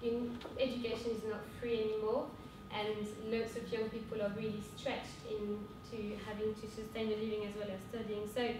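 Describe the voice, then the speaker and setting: A young woman with a French accent giving an earnest conference talk, pausing often with fillers. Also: flat delivery. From France. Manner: earnest, hesitant with frequent fillers. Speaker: cooperative speaker. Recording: echoey hall from far off with rumble.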